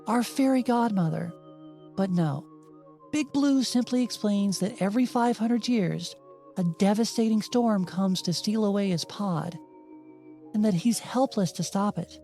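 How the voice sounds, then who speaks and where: Mellow-voiced woman narrating with dry wit. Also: mid-range voice. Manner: wry, dryly sarcastic asides. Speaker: host and narrator of a video game retrospective. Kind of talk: audiobook-style scripted narration. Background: music under the voice.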